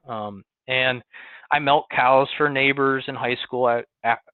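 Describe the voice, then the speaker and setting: A man's voice: medium pitch, unhurried, no room sound. Guest farmer, man, Western New York accent, easygoing storyteller full of "um"s, tinny phone-like line, dry sound. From Western New York, near Buffalo. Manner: relaxed storytelling with many "um"s and restarts. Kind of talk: conversational interview.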